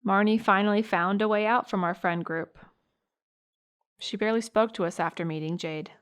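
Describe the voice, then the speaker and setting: A young American woman playing a sympathetic, earnest high school girl recalling a friend in a naturalistic audio drama. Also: steady tone, normal speed, clean tone, close to an everyday voice. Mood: reflective, fond.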